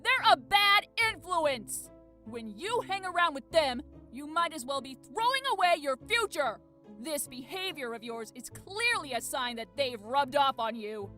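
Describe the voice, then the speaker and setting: High, sharp-voiced scolding mother. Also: sing-song, measured pace, soft background music, exaggerated voice. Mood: angry scolding. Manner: commanding, scolding, emphatic. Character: stern, angry mother scolding her teenage son. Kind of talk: visual novel voice acting.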